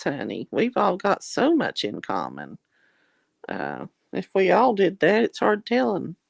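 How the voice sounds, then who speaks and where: A woman vlogger speaking slowly and warmly folksy, calling everyone honey and darlings. Her voice is gravelly and worn, as though it's giving out on her, and it swoops up and down a lot. The sound is close and dry. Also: fairly low pitch, no echo. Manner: religious, often says Lord have mercy. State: losing her voice, clearing her throat. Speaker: vlogger reading viewer comments. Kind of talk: casual live chat.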